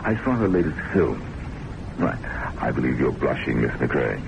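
Pompous, deep-voiced, theatrical ladies' man boss. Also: sing-song, moderate pace, gravelly, highs cut off, exaggerated voice. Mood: smug, musing. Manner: pompous, wordy, patronizing. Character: suave, self-important, womanizing academic boss, a doctor. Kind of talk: old-time radio drama acting. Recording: noisy old radio broadcast recording.

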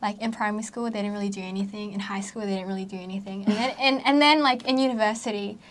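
A woman's voice: medium pitch, steady tone, quick pace. A young adult woman hosting a podcast in casual chat, talkative and giggly, with many "like" fillers. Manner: chatty, giggly, lots of "like" fillers. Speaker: podcast host.

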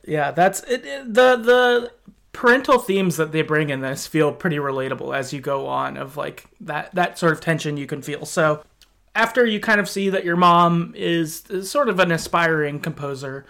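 A man's voice: fairly high pitch, moderate pace, gravelly, a bit bright. A man hosting a podcast, recapping a story in a casual, rambling way. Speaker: podcast host.

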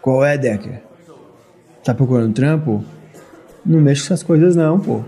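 A man's voice: medium pitch, moderate pace, warm tone, close to an everyday voice. A man acting in an audio drama as a brash, foul-mouthed street mercenary who talks in swaggering slang. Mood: wary, dismissive.

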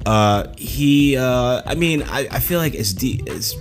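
A man's voice: mid-range voice, expressive, unhurried, soft background music. A man in his forties co-hosting a casual podcast, rambling loosely with lots of "like" and swearing. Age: 40s. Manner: rambling, loose and profane, heavy on "like". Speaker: podcast co-host.

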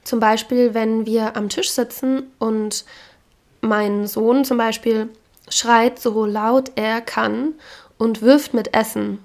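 A woman hosting a podcast, speaking frankly and with opinions while hoarse from a cold. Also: on the high side, fairly even intonation, slow. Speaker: podcast host. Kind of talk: casual solo podcast talk.

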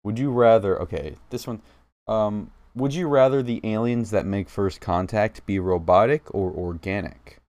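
A man's voice: on the low side, moderate pace, a little mellow, no echo. A young man hosting a casual chat, reading out would-you-rather questions and joking with friends. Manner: joking, teasing, laughs often.

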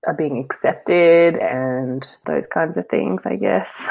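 A woman's voice: low voice, slow, creaky. A woman, talking openly and reflectively as a guest, with many fillers. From New Zealand. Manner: open, rambling, self-reflective with frequent fillers and soft laughs. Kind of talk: casual conversational interview.

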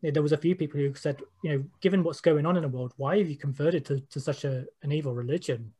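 A man's voice: a little high, fast talker, mellow, husky. A British man giving personal testimony in an informal discussion, opinionated and repeating phrases for emphasis. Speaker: interviewee, panel participant.

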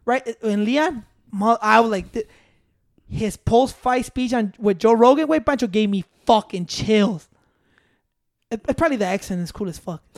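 A man chatting as a podcast co-host, telling stories in a relaxed, profane way with Spanish words mixed in. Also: high voice, moderate pace, no room sound, clean studio sound. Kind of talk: casual chat.

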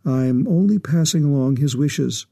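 Male reader as aging bureaucrat, even-voiced, restrained sorrow, formal, soft muted timbre, storybook narration. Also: fairly high pitch, unhurried, gravelly, husky, heavily acted.

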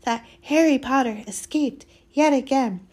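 A woman voicing a small, excitable male house-elf in a storybook, squeaky, reverent and fearful, speaking of himself in the third person. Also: a little slow. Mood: admiring, earnest. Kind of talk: dramatised storybook reading.

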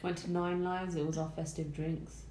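A woman's voice: fairly low pitch, level tone, normal speed, mellow. A woman, chatting casually and easygoing as a podcast co-host. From England. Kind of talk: casual chat among friends. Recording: roomy room with faint background noise.

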